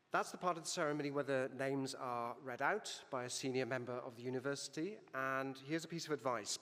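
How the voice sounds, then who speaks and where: A man's voice: medium pitch, a bit bright. Welsh man speaking in a reverberant hall. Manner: formal but encouraging, addressing a hall. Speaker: university provost. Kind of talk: ceremonial welcome speech. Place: large ceremony hall.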